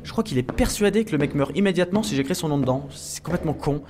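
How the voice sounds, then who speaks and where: A man's voice: a little high, expressive, fast, noisy background, lightly acted. A man playing a sarcastic, nervous clone henchman, rambling colloquially and swearing in a comedy audio drama. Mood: offhand. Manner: rambling, sardonic, foul-mouthed.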